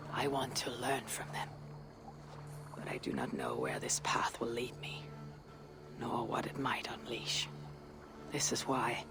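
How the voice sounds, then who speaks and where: An actress playing a weathered Viking warrior woman in a game cutscene, speaking solemnly and formally with a husky, sometimes breathy voice. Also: extremely low pitch. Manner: measured, solemn, formal phrasing. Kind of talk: dramatic video game cutscene acting.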